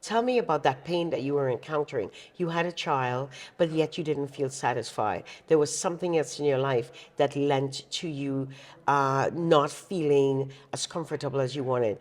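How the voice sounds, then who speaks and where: A woman hosting a talk show interview in an affirming, expressive way. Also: extremely low pitch, fairly quick.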